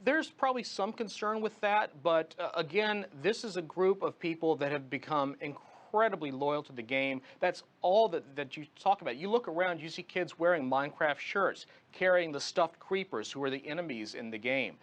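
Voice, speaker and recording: A man's voice: a little high, lively intonation, moderate pace, few pauses, fairly bright tone. A man speaking as a guest commentator in a live news interview, explaining his analysis point by point.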